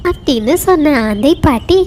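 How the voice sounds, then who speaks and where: High-voiced woman narrating a children's story. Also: expressive, moderate pace, darkish tone, slight background noise.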